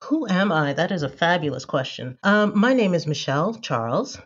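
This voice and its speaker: A middle-aged American woman from Philadelphia, a business English coach chatting as a podcast guest in a measured, affirming way over a call. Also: fairly low pitch, animated, breathy edge, lo-fi sound. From Philadelphia, United States. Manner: affirming, measured, often agreeing. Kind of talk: casual interview chat. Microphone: remote call.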